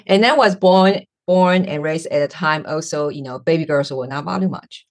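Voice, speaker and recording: A woman's voice: sits low, normal speed. Woman hosting a podcast. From Taiwan. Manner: searching for words, with many fillers. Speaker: podcast host. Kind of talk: interview podcast.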